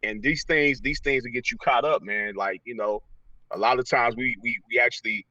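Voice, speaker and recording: A man's voice: mid-range voice, moderate pace, sharp tone, no room sound, highs cut off. A man giving casual motivational talk as an interview guest, slangy and emphatic, often repeating phrases for effect. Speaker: guest, motivational speaker and author. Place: in a car during a remote call. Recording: slightly distant mic.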